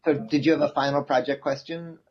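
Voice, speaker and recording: A man's voice: mid-range voice, fairly even intonation, a little fast. A man instructing an online class informally over a video call, thinking aloud in a hesitant way. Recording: dull, lo-fi video call audio.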